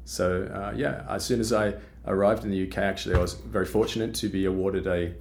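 A middle-aged Australian man with a deep, low voice explains his research patiently, pausing on "uh" and restarting phrases as he goes. His accent has a British tinge. A faint hiss sits under the recording. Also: fairly even intonation, gravelly. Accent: Australian with British influence. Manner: explanatory, with frequent fillers and restarts. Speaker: guest scientist. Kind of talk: podcast interview.

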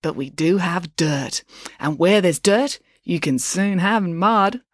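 A woman's voice: on the low side, big pitch swings, a little fast, husky, dry recording, studio quality, voice pushed up. A woman voicing an earnest, gossipy, superstitious schoolgirl in a children's story, in an insistent, emphatic way. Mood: proud.

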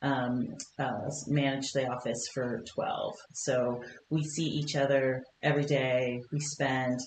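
A middle-aged woman from Kentucky, a podcast guest, talking in a warm, chatty way with frequent laughs and fillers. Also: a bass voice, level tone, slow pace, echoey room, slight background noise, low-quality recording. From Kentucky, United States. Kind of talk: conversational interview. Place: podcast studio.

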